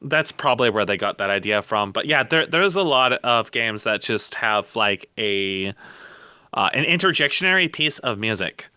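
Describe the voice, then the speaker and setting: A man's voice: fairly low pitch, animated, normal speed, pushed and bright, clear, resonant tone, no echo. A man co-hosting a podcast in casual, opinionated discussion, rambling and emphatic, repeating words for stress. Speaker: podcast co-host.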